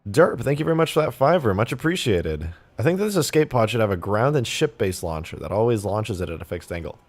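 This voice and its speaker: A man live-streaming while he mods a game, thinking aloud, reading chat and laughing loudly at times, his voice going a bit hoarse. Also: fairly low pitch, big pitch swings, a little fast. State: losing his voice, slightly hoarse. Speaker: modding streamer. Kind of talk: casual live-stream commentary.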